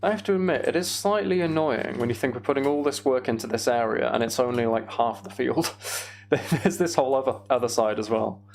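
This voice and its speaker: A man's voice: mid-range voice, brisk, vocal fry, darkish tone, a little hiss or hum. A British man chatting casually on a livestream while he plays a game, rambling and thinking aloud. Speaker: streamer.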